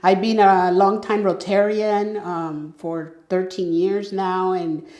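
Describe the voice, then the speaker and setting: A woman's voice: lowish voice, little pitch movement, takes it slowly, a little mellow. A middle-aged woman from El Salvador, talking casually as an interview guest with many fillers and restarts. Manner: conversational, frequent fillers and restarts. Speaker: guest entrepreneur. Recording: echoey room.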